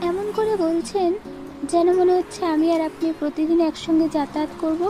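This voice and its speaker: A woman playing a feisty yet courteous young college student in an audio story, with an expressive, dramatic delivery. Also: extremely high pitch, fairly quick. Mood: friendly. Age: young adult. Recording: music under the voice.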